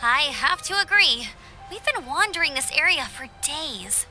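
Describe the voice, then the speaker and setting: A woman's voice: sits high, sing-song, normal speed, bright, forceful tone, faint music behind, full character voice. An actress playing a weary young girl adventurer in a video game, speaking hesitantly. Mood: weary, sheepish. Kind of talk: video game cutscene acting.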